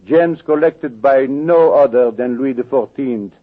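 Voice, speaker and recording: Man playing a suave French villain, husky, tinny radio. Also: medium pitch, a little slow, lightly acted. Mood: smooth, smug. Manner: oily, mock-polite, hesitant formal phrasing. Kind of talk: 1940s radio drama acting. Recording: muffled, tinny old radio recording.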